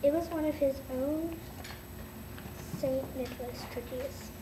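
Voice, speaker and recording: A young child with a high voice narrates a scripted story in a halting read. The sound is echoey and distant, with clear background noise. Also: fairly even intonation. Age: child, about eight or nine. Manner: halting reading. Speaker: narrator in a school readers' theater. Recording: echoey, noisy room from afar.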